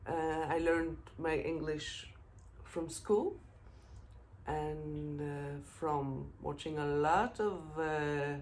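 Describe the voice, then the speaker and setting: A middle-aged Israeli woman with a low voice talks slowly through her knitting podcast. Her English halts on "uh" and "um" as she hunts for words. A faint hum sits behind her. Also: fairly even intonation, a little mellow. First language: Hebrew. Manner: halting, frequent fillers, searching for English words. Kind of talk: casual knitting podcast monologue.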